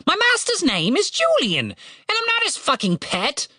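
A woman voicing an indignant, sarcastic male giant raven in an audiobook, with screeching, drawn-out delivery. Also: very high voice, wildly swooping pitch, bright, forceful tone, no echo, studio quality, voice pushed up, exaggerated voice. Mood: scornful.

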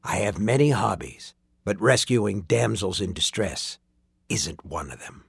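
Guy doing a creepy polite sorcerer for an audiobook, low teasing murmur, old-timey words, gleeful and slippery, kinda whispery. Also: lively intonation, vocal fry, husky, dry recording, studio quality, full character voice. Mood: amused, sly. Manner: archaic, teasing, drawn-out murmuring that drops into whispers. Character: sinister sorcerer man, mockingly courteous, slyly gleeful at suffering.

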